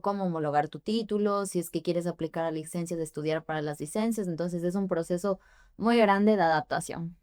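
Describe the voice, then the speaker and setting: Ecuadorian woman on a podcast, low-ish voice, lots of ehs, dry room sound. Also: clear, resonant tone, no room sound. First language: Spanish. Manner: thoughtful, many hesitations with "eh". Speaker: immigration forms preparer, guest. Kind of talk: podcast interview.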